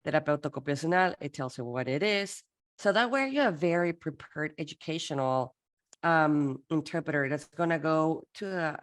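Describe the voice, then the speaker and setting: Woman from Peru, low voice, lively workshop presenter who acts out exchanges. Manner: lively, playful teaching with acted-out dialogue.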